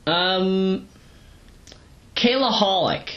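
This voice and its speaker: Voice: mid-range voice, extremely slow, a bit bright. Muffled, rambling amateur reviewer of unclear gender. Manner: rambling, repeats and corrects themself. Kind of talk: casual rambling audio log review. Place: at home, moving between kitchen and bedroom. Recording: muffled, noisy home audio with fan. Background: fan running.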